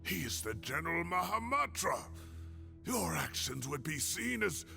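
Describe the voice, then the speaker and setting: A gravelly older scholar. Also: male voice, on the high side, big pitch swings, a little slow, bright, forceful tone, soft background music, exaggerated voice. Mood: earnest. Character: older male scholar, defiant former researcher.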